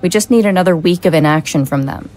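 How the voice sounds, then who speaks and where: A woman's voice: on the low side, fast talker, darkish tone, lightly acted. A woman playing a shrewd, businesslike vice-consul in an audio drama. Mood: measured. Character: shrewd, hard-nosed female vice-consul, political deputy.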